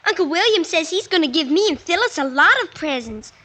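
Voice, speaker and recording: An innocent, eager little daughter in a 1940s radio sitcom. Her voice is extremely high. Thick crackle and noise cover the vintage recording. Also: animated, brisk. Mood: innocent, cheerful. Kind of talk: 1940s radio comedy acting.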